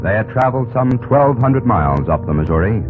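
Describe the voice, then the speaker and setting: An actor as the solemn narrator of an old-time radio history drama, emphatic and portentous. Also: male voice, on the low side, lively intonation, over music, lightly acted. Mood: solemn, dramatic. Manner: emphatic, portentous, deliberate phrasing. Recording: tinny old radio recording.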